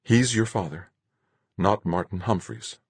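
A man voicing an earnest, caring woman in an audiobook, speaking softly with quiet insistence. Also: fairly low pitch, unhurried, slightly rough, dry recording, pitched above the performer's own voice. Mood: somber, firm. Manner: soft, insistent, somber.

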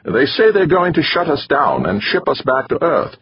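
A man's voice: a little high, big pitch swings, moderate pace, breathy edge, dry recording, dull audio, voice pushed up, exaggerated voice. A man voicing a gloomy, whiny middle-aged male biologist with a Hungarian accent.

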